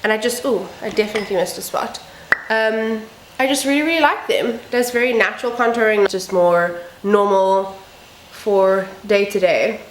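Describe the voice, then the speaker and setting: A woman vlogger talking casually through a makeup tutorial, a little croaky and sniffly from a cold. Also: medium pitch, moderate pace, reverberant, a little hiss or hum, rough recording. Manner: chatty, upbeat, self-deprecating asides. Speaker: beauty vlogger. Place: home room with building noise outside.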